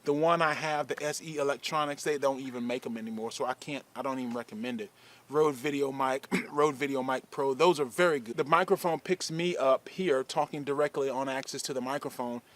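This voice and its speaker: A man talks into a camera-mounted shotgun mic outdoors under a shade tree. His voice sits at an ordinary middle pitch, and his delivery is loose and rambling, like a practical how-to vlog. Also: brisk. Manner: relaxed, rambling, demonstrative. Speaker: fishing video creator. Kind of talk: casual gear advice vlog.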